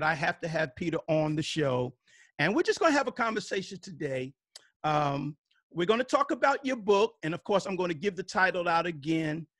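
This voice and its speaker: A man's voice: fairly high pitch, fairly bright tone, no room sound, clean studio sound. A male podcast host introducing a guest and asking questions in a welcoming way, with frequent fillers. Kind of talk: interview conversation.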